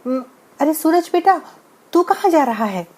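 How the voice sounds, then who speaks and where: Doting village mom, acted by a woman, high and mellow, coaxing, affectionate, storybook style. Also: very animated, a little slow. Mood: gentle. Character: poor, loving, soothing village mother.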